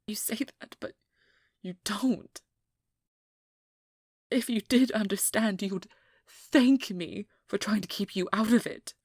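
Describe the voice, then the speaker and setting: A very breathy, husky female vampire hunter, played by a woman, speaking slowly and close to the mic in a dry space. She is guarded and determined, stern yet protective, in a hushed ASMR role-play. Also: medium pitch, no echo. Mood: angry, frustrated. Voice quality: breathy, hushed, often whispering. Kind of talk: ASMR role-play, intimate dramatic monologue. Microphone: close microphone in a dry room.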